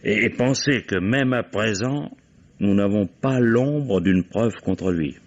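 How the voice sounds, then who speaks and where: A shrewd, weary commissioner speaks in a deep voice. He is deliberate, musing and commanding, in old French radio mystery style. The sound is dull and dated. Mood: reflective, explaining. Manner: deliberate questioning with musing interjections. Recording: dull vintage radio drama recording.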